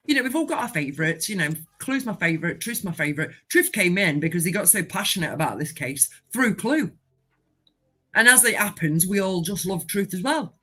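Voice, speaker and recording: A woman from the UK calling in by phone to a live panel, making an emphatic point and often repeating herself as her pace speeds up and slows down. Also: medium pitch, pushed and bright. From United Kingdom. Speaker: caller on a live audio panel. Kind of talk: impassioned call-in comment. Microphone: phone call.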